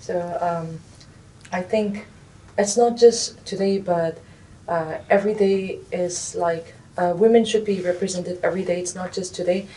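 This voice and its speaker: Soft-spoken young woman from India, distant. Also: fairly even intonation, measured pace. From Nagaland, India. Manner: hesitant, many fillers and restarts. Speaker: interviewee, recent graduate. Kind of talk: interview answers. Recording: distant mic, faint rumble.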